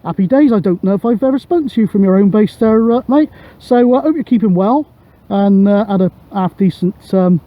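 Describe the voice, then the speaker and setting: A man's voice: sits high, a little fast, dry recording, muffled recording. A British man chatting casually outdoors in an easygoing, colloquial way. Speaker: vlogger and CB radio operator. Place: outdoors on a grassy hilltop.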